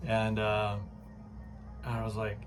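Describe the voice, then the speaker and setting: A man with a fairly low voice chats excitedly into his phone in a home kitchen, filling the gaps with "uh" and "um" and breaking into laughs. His talk is casual and loose, like a home vlog. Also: slow pace, over music. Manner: chatty and excitable, many fillers, laughs often. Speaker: vlogger. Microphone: phone camera.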